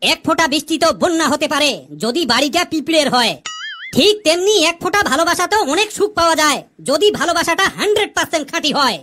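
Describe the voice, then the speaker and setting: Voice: sits high, lively intonation, quick pace, background noise. A performer voicing a cheeky joker of a pupil in a comedy cartoon, explaining silly ideas.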